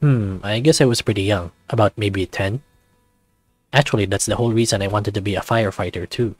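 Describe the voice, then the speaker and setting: A man voicing a shy, gentle young male deer character in a visual novel, speaking softly and hesitantly. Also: lowish voice, fairly quick, no room sound, pitched below the performer's own voice, close to an everyday voice.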